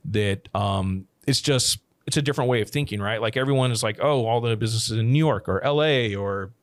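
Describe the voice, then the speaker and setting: A man's voice: medium pitch, a little fast, no room sound. A man talking casually on a podcast about running his production company, rambling with frequent restarts. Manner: rambling, many restarts and "you know". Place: living abroad, working from Thailand.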